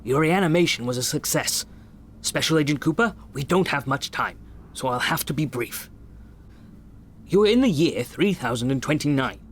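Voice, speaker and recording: A man performs a sci-fi game doctor with a slightly raised voice, brisk and formal. He instructs in an encouraging way over noticeable background ambience. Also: fairly high pitch, expressive, slight background noise, close to an everyday voice. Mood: brisk, instructive. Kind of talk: video game tutorial dialogue acting.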